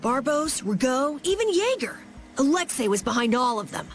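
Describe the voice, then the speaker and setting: A woman's voice: high voice, big pitch swings, normal speed, faint music behind. A woman playing an earnest, easily indignant young boy adventurer in a fantasy video game. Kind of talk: English game dub acting.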